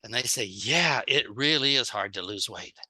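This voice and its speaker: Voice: mid-range voice, expressive, measured pace, sharp tone. A speaker giving a sales training seminar in short plain phrases, acting out little example dialogues. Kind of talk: seminar talk in short phrases, paused for an interpreter.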